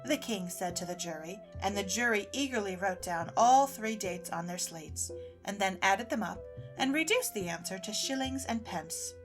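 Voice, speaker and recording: A woman's voice: mid-range voice, lively intonation, normal speed. Woman narrator for an audio drama, mid-range voice, storybook read, music under it.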